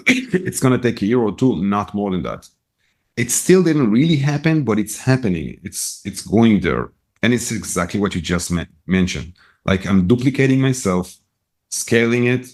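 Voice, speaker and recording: A middle-aged man with an Israeli accent speaks on the low side, telling stories in an interview. He talks in quick bursts, often restarting his sentences. His lively pitch swings up and down as he goes. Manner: animated storyteller, quick bursts, many restarts. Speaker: guest, retail business owner. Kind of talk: casual storytelling interview.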